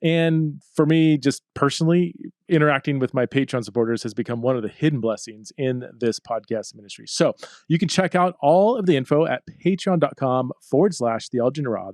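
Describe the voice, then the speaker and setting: A man's voice: medium pitch, a little fast, darkish tone, no room sound, studio quality. A man hosting a podcast, talking in an upbeat, chatty way and laughing often. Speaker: podcast host. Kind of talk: casual interview chat.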